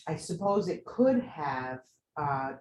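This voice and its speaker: A nursing instructor giving measured, reflective feedback to a student, with thoughtful pauses. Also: medium pitch, big pitch swings, a little mellow, slight background noise. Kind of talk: simulation debrief. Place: simulation room. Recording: echoey room with faint ambience.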